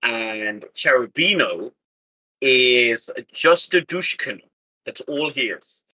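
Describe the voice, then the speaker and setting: A man's voice: medium pitch, animated, slow pace, sharp tone, rough recording. A man chatting casually as a tabletop game player, with a wry, exasperated manner and some swearing. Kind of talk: casual tabletop roleplay chat.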